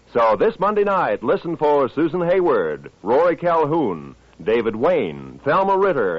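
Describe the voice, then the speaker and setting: A man's voice: mid-range voice, dry recording. An American man announcing on 1950s network radio in a formal, polished scripted delivery. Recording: dull vintage 1950s radio recording.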